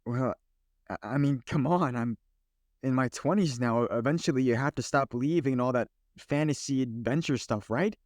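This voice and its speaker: A man's voice: medium pitch, a little mellow, no room sound, studio quality, lightly acted. Man playing a bewildered, skeptical twentysomething guy. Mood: awkward, sarcastic. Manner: halting, exasperated. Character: bewildered skeptical man in his twenties, junior office worker. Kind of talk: naturalistic audio play acting.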